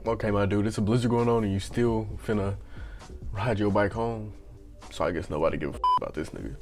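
A young man with a low voice that's slightly raspy from just waking up riffs in loose, slangy, joking commentary. He speaks into an earbud headset mic in a small room. Faint music plays underneath. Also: fast talker. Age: young adult. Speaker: reaction channel host. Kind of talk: casual reaction commentary.

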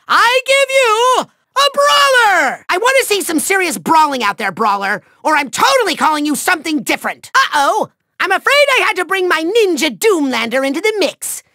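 A man voicing a petty, boastful, tantrum-prone villain overlord in a video game, theatrical, taunting and whiny, with a shrill nasal voice. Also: creaky, sharp tone, full character voice. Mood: theatrical menace. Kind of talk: over-the-top video game villain acting.

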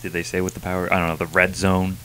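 A man's voice: deep voice, completely flat delivery, fast, pushed and bright, a little hiss or hum. A young man co-hosting a review show in casual, slangy, profane chat on a handheld microphone. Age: young adult. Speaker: comic review show co-host.

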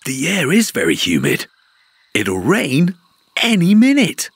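A man voicing a patient, playful green parrot father in a children's cartoon, gently explaining and counting out a march. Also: a little high, huge pitch swings, a little slow, creaky, slightly rough, no echo, full character voice. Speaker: father teaching his kids. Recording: studio voice over loud music.

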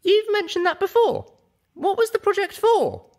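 Man doing a very high young pony mare. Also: big pitch swings, normal speed. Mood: curious. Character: young female pony adventurer, anxious and curious.

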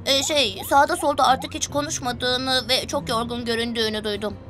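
Sweet, high-pitched little boy. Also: fairly even intonation, normal speed, a bit bright. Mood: caring. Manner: childlike, earnest. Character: sweet, concerned young boy, fond of buses. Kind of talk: children's cartoon dubbing. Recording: music behind the voice.

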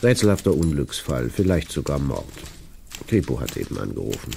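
An actor in a radio play playing a brisk, gruff editor-in-chief with a dark, gravelly voice. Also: male voice, rumbling low, very animated, a little hiss or hum, heavily acted. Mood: brisk, commanding. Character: gruff, brisk male newspaper editor-in-chief giving orders. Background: typewriter clatter.

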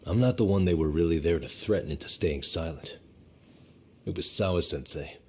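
A man voicing a cool, determined detective in his thirties in a video game, measured and serious. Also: low-pitched, a little mellow, slightly rough. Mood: grave. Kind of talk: English-dubbed video game cutscene dialogue.

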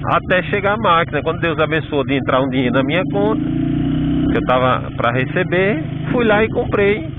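A man's voice: a little high, expressive, a little fast. A Brazilian man talking chattily while riding a motorcycle in the rain, preaching about faith and hard work, often asking "entendeu?"; recorded outdoors on a bike-mounted camera. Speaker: motovlogger. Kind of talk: casual motivational talk while riding. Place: outdoors on a motorcycle on rainy rural and town roads. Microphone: helmet or bike-mounted action camera. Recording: tinny phone-like sound with loud road noise.

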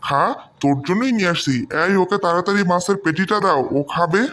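A man's voice: high voice, fairly quick, a bit bright. A man with a Bangladeshi accent voicing a doting, bossy elder brother in a cartoon story. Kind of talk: animated moral story dialogue.

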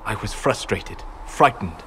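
An actor playing a solemn, reflective elf mage in a fantasy game, speaking in a measured, formal way. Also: male voice, lowish voice, expressive, sharp tone, slight background noise. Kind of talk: cinematic fantasy game dialogue.

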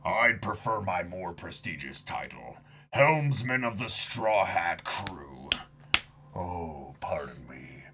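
A man voicing a proud pirate warrior from a manga in a hushed, breathy grumble. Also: sits low, big pitch swings, slow pace, noisy background, pitched below the performer's own voice, exaggerated voice. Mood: calm, taunting.

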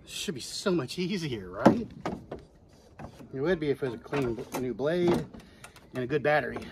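A man narrates his outdoor build in a casual, easygoing way, muttering encouragement to himself between steps. His voice sits in a middle register. Loud power tool noise runs underneath. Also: mid-range voice, expressive, normal speed. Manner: talks to himself while working, muttering encouragement. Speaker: homesteading vlogger. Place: outdoors on a homestead.

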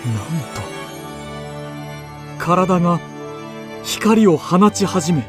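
An actor playing an earnest poet-pilgrim who recounts his journey deliberately as first-person radio drama narration. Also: high-pitched, expressive, over music, exaggerated voice. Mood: earnest narrating.

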